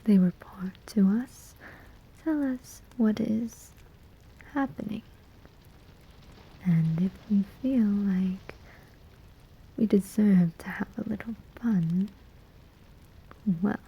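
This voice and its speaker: A woman's voice: mid-range voice, lo-fi sound, full character voice. A woman playing a sly, amused demon collector of souls in a whispered, teasing ASMR roleplay. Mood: smug, teasing. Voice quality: breathy, whispering. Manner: slow, teasing, deliberate pauses.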